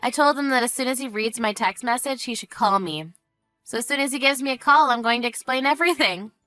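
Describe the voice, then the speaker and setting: A woman's voice: on the high side, fast talker, bright, forceful tone, soft background music. A woman voicing a fed-up, sarcastic wife with a cutting, smug manner in a narrated family drama. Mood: fed-up, firm. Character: fed-up, sarcastic young wife taunting her in-law.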